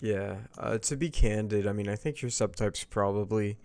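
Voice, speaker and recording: A man's voice: on the low side, normal speed, darkish tone, no echo. A man hosting a casual typing session, tentative and hesitant as he thinks aloud. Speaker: host of a personality typing session. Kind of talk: casual chat.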